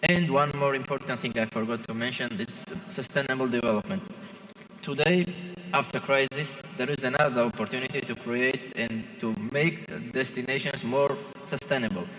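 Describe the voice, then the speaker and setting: A man who is not a native English speaker, giving halting, impromptu remarks as a conference panelist. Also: mid-range voice, steady tone, fairly bright tone. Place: large conference hall. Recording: echoing hall with long reverb.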